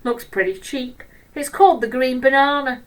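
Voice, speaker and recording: A woman voicing a brash, smug schoolboy troublemaker in a children's storybook, with a loud, swaggering delivery. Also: high-pitched, moderate pace, voice pushed up, lightly acted. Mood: sly, confident.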